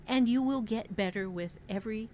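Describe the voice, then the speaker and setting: A woman's voice: on the high side, brisk, a little mellow, a little hiss or hum. A woman giving casual, conversational writing advice as a podcast host, emphatic and repeating words for stress.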